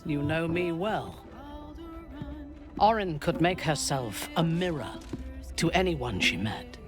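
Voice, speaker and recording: A reflective woman speaks softly and formally. She talks slowly and calmly. Game music plays behind her. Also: sits low. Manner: soft, measured, formal. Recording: game dialogue over music.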